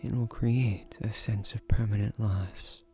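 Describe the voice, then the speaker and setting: Slow, low ancient guardian, voiced by a man. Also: steady tone, soft background music. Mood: earnest, gently admonishing. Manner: formal, unhurried, earnest wording with soft hesitations. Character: ancient male guardian of a magic tree, gentle, courtly, persuasive. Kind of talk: scripted romantic fantasy audio roleplay.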